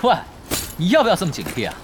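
A man's voice: sits high, wildly swooping pitch, racing, background noise. A man playing a cocky, playful young expert gamer in a Chinese audio drama, teasing and nonchalant with a theatrical delivery. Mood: taunting. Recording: music behind the voice.

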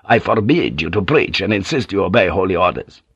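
A man's voice: low-pitched, animated, fairly quick, gravelly, a bit bright, breathy edge, no room sound, lo-fi sound, heavily acted. A man reading an audiobook and voicing a stern, commanding church leader. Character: stern authoritative older male church leader.

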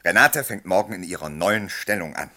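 A cheerful, self-satisfied husband in a German radio play. His voice sits low with a bright edge. His delivery is jovial and very animated. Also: fairly quick, heavily acted. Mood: jovial, pleased.

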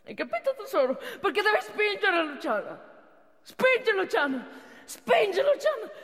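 A woman's voice: very high-pitched, sing-song, moderate pace, a bit bright, heavily acted. A woman playing an anxious, devoted female friend in a theatrical monologue, earnest, emotional and colloquial. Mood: frantic coaxing. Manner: emotional, colloquial, repetitive urging. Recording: reverberant theatre hall.

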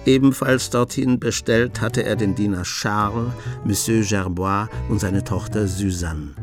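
A man narrating a radio play in a measured, storytelling manner. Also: fairly low pitch, steady tone, soft background music.

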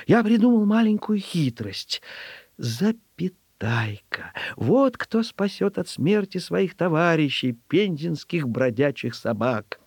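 Animated male storyteller, swooping pitch. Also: vocal fry, slightly rough, no room sound, studio quality. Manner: theatrical, animated. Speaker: narrator, reader of children's stories. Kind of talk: expressive first-person storytelling.

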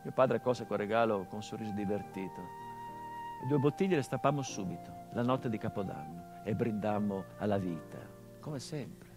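An actor playing a hardened, grieving middle-aged son, delivering a measured theatrical monologue that drops to hushed tones. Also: male voice, on the low side, over music, lightly acted. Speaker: stage actor.

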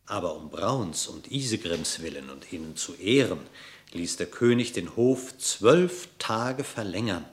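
Low-pitched male storyteller, formal and literary. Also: expressive. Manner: measured storytelling, formal diction. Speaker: narrator. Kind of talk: literary scripted narration of a verse fable.

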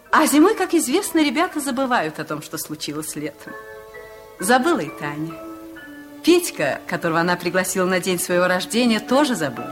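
A woman narrating a children's fairy tale as a storyteller in a radio play. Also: fairly low pitch. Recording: music playing under the voice.

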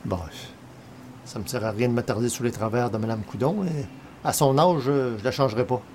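A man's voice: mid-range voice, brisk, darkish tone, a little hiss or hum, lightly acted. A man playing a polite but grumbling everyman with a Quebec French accent, colloquial and hesitant, in radio comedy style. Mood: wry grumbling. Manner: hesitant, colloquial, sardonic asides. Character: everyman newspaper columnist, polite but wryly grumbling guest. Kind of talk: old-time radio comedy acting.

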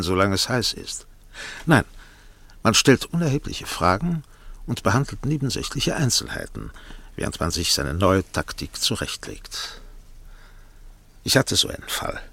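An actor playing a worldly, self-assured defence barrister who talks in a dry, patronising, measured way in a German radio drama. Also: male voice, low voice, vocal fry, a bit bright, no echo, full character voice.

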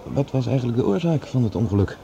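A man playing an earnest, slightly halting professor in a radio play. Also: mid-range voice, lively intonation, vocal fry, mellow, highs cut off, a little hiss or hum. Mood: friendly, earnest. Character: earnest, awkwardly apologetic male professor. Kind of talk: Dutch radio play acting.

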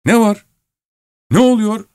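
A man voicing an excitable young male sleigh driver who talks in a high, breathless, raised voice, in a storybook reading. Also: unhurried, clear, resonant tone, dry recording, studio quality. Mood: alarmed.

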